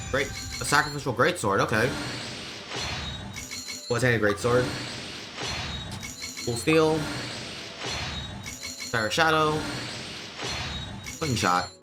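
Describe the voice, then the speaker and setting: Unhurried male game streamer over music. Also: medium pitch. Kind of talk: casual live gameplay commentary.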